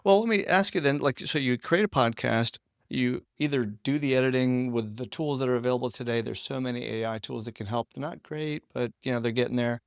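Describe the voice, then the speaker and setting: Guy hosting an interview podcast, lots of vocal fry, mid pitch, dry sound. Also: a little fast, hardly any pauses, no room sound. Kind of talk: conversational interview.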